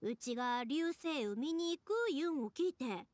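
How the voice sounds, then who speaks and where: A woman voicing a cheerful, warm-hearted young woman in an anime game, with a Kansai accent and a bubbly, expressive delivery. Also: sits high, normal speed, no room sound. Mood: excited. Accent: Kansai Japanese.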